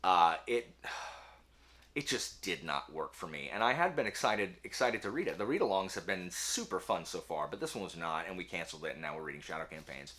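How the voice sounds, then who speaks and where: Animated man with a bright, forceful voice. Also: deep voice, brisk. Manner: animated, self-deprecating, emphatic. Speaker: book review channel host. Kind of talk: casual talk to camera, answering book tag questions.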